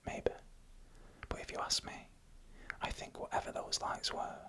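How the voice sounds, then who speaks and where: A storyteller who whispers throughout, with a crisp, bright edge to the breath. The delivery is scripted ASMR narration of eerie first-person tales, laid out in short, hushed phrases with pauses between them.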